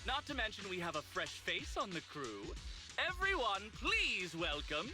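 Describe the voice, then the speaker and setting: A showy film director whose pitch swings widely. He is persuasive and grandiose, a theatrical game character speaking over clear music. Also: wildly swooping pitch, a little slow, exaggerated voice. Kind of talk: game voice acting.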